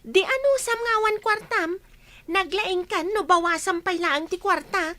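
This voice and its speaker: A woman's voice: very high-pitched, measured pace, bright, forceful tone, exaggerated voice. An actress playing a grasping, scolding woman who demands money in a Filipino radio drama. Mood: scolding, dissatisfied.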